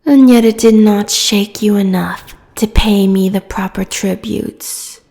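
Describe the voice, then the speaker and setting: A woman playing a proud, menacing giant sea goddess in a fantasy audio roleplay, speaking in a haughty, theatrical way with phrases that trail into breathy whispers. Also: medium pitch, fairly even intonation, measured pace, warm tone. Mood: contemptuous. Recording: long cavernous reverb.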